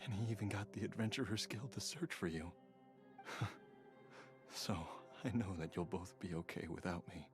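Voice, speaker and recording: A man voicing a weary, devoted father in a video game, halting and heartfelt. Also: low-pitched, music in the background. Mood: resigned, tender. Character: weary, devoted husband and father, tender and resigned.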